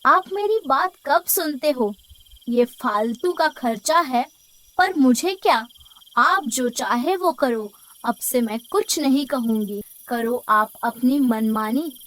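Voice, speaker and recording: A woman's voice: high voice, expressive, slow pace, fairly bright tone, exaggerated voice, rough recording. A woman voicing an overworked, thrifty farmer's wife in a cartoon story, with a complaining, nagging manner. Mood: sulky, resentful. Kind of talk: scripted cartoon story acting. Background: background music.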